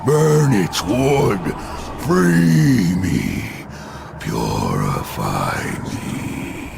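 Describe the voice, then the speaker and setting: A tormented spirit in a video game, trapped and pleading. The voice is very deep and extremely slow, heaving wildly up and down in anguish over clear noise. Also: male voice, sing-song, full character voice. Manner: drawn-out, anguished wailing delivery. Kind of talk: dramatic video game acting.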